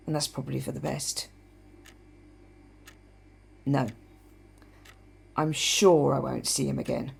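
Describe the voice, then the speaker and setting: A creaky, guilt-ridden widow. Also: female voice, low-pitched, darkish tone, full character voice. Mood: subdued, resigned. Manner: halting, reflective, bitter. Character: guilt-ridden widow confiding in her dead husband. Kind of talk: radio play monologue.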